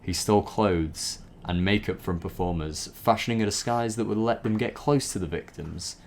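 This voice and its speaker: Very low male narrator, informal story reading with conversational asides, slightly noisy background. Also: moderate pace. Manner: stumbles over words and breaks off to comment. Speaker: host reading a backstory aloud.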